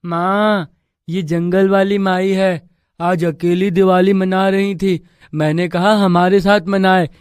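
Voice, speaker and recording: Woman voicing a slow-spoken, earnest young boy. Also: medium pitch, level tone, a little mellow, clear, resonant tone, dry recording, studio quality, lightly acted. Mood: kind, earnest. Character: kind, innocent, mischievous young village boy.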